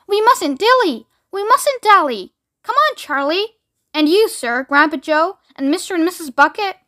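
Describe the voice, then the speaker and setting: A woman voicing a brisk, exuberant eccentric man in a children's storybook, emphatic and exclamatory. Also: high voice, lively intonation. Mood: hurried, commanding. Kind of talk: children's storybook read aloud.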